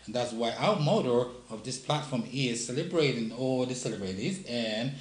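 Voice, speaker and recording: A man's voice: medium pitch, animated, moderate pace. A man with a Nigerian accent hosting an interview show, with an emphatic, repetitive showman delivery. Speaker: TV show host. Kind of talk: live interview presenting. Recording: echoey room.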